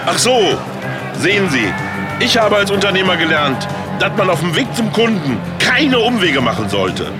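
A man's voice: high voice, very animated, moderate pace, loud music behind the voice. An actor playing a naively eager businessman in his sixties who turns politician, colloquial, in a radio play. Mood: self-assured. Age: 60s.

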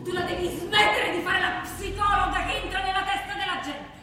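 Stage drama: scornful, enraged mother, shrill and emphatic, distant in a reverberant hall. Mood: furious, contemptuous. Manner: accusatory, drawn-out emphatic delivery. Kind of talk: theatrical stage drama acting. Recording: echoey hall, distant mic, faint noise.

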